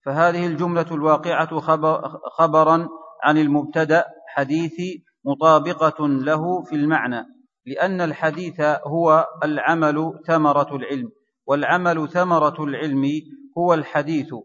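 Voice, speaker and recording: A man reading a grammar text aloud for his teacher in a steady, measured recitation. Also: fairly high pitch. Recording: distant mic in a reverberant hall, dull lo-fi sound.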